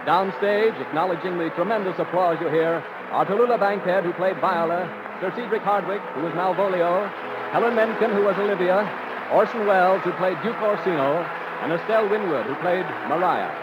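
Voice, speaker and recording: A male announcer speaks formally in a high, projected voice, in the manner of 1930s radio. The old broadcast is muffled and very noisy. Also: fairly quick, over a noisy crowd.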